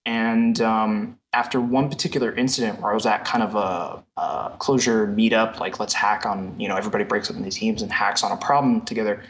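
A man's voice: lowish voice, steady tone, a little fast, few pauses, fairly bright tone, low-quality recording. A man giving casual, explanatory answers as a programmer guest in a technical interview.

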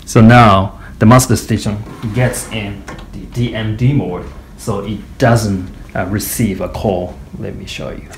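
A man giving an informal product demonstration in a hesitant, halting way with frequent pauses. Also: fairly low pitch, fairly even intonation. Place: small indoor office or meeting room. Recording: echoey room, faint background noise.

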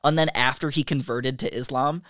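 A young man in his twenties co-hosting a casual book review podcast, animated and sarcastic, often laughing, on a boom mic in a small home studio. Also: fairly quick, no echo. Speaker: podcast co-host. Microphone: boom mic on stand.

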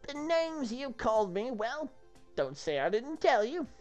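A reader voicing a scolding male wizard who nags the knights in a high, drawn-out voice. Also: very animated, a little mellow, dull audio, faint music behind. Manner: nagging, drawn-out, high put-on voice.

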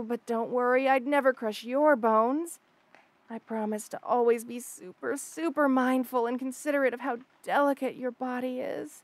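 A woman's voice: fairly high pitch, no room sound. A woman voicing an obsessive, jealous lamia monster girl in an intimate audio roleplay, flustered, boastful and gushing, with stammers. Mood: devoted, coaxing.

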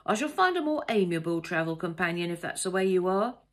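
A woman's voice: fairly low pitch, sing-song, a little fast. A woman voicing a talkative, pompous older man in an audiobook, blustering and patronising in colloquial speech. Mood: scornful. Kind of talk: audiobook character dialogue.

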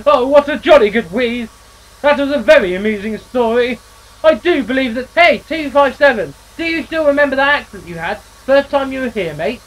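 A man voicing a pompous, fussy male steam engine who is prim and quick to take offence. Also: very high voice, animated, pitched above the performer's own voice, rough recording. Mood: jolly. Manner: prim, fussy, plummy phrasing.

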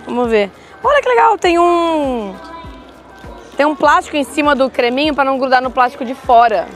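Chatty high-voiced woman vlogger. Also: lively intonation, moderate pace, faint music behind. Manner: chatty, describes food tastes. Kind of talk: casual travel vlog chat.

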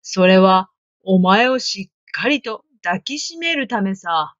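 A woman voicing a sly, hungry male wolf in a storybook reading, speaking slowly with gloating menace. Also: medium pitch, lively intonation, a bit bright, dry recording, highs cut off. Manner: slow, gloating, drawn-out menace. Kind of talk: storybook read-aloud.

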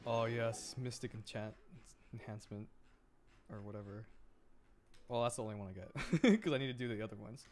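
A man doing casual livestream gameplay commentary, excitable and thinking aloud as he plays. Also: lowish voice, moderate pace, long pauses, slight background noise. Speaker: streamer.

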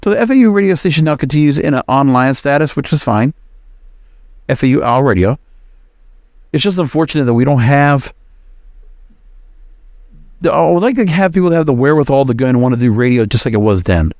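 A man's voice: mid-range voice, lively intonation, brisk, pauses often, darkish tone, no room sound, studio quality. A man hosting a solo podcast, talking casually in a rambling, conversational way. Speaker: podcast host.

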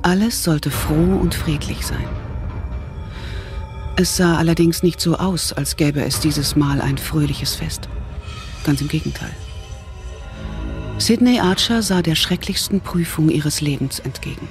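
A woman's voice: low-pitched, measured pace, long pauses. Woman, scripted thriller narration, background music.

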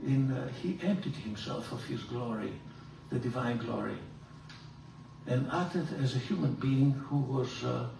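An older man, a priest, giving a theology lecture in an animated, emphatic way, filmed from across a large room. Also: gravelly, a little hiss or hum. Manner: animated, gesturing, emphatic rises in pitch. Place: large room with hard walls. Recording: roomy hall echo, from far off.

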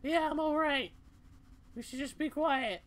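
A woman voicing a shaken, stubborn young female cat in a video game, speaking in a halting, weary way. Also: high-pitched. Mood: shaken, strained. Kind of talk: amateur video game voice-over.

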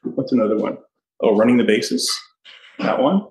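Husky middle-aged man, chatty and keen. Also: mid-range voice, lively intonation, darkish tone, rough recording. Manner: enthusiastic, halting while walking and talking. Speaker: podcast host giving a studio tour. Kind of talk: casual chat, walk-through tour. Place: indoors, walking through studio offices and hallways. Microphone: phone held at arm's length on video call.

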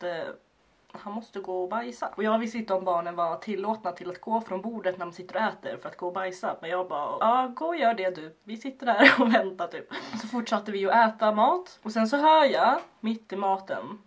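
A young woman vlogging in a casual, playful storytelling way. Her voice is husky and sits at an average female pitch, recorded indoors in a bedroom.

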